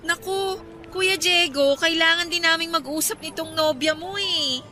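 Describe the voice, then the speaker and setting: A chatty younger sister who steps in protectively. Her voice is high and sharp, in Filipino radio romance style, with faint music underneath. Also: measured pace, exaggerated voice. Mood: anxious intervening.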